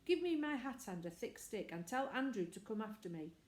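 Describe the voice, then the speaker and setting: A woman's voice: medium pitch, big pitch swings, brisk, a little mellow, lightly acted. A woman voicing a flustered but commanding male village vicar in a children's story. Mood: alarmed. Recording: echoey room.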